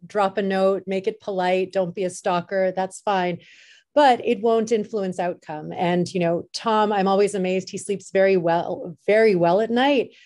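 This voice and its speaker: A woman's voice: medium pitch, a little mellow. A woman speaking conversationally as a film festival organizer in an interview, direct and plainspoken, in short phrases.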